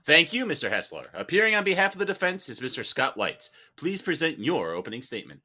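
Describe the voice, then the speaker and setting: A man's voice: on the high side, big pitch swings, fast talker, sharp tone. A man moderating a mock courtroom as its judge, in a theatrically official manner. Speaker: podcast host playing a mock judge.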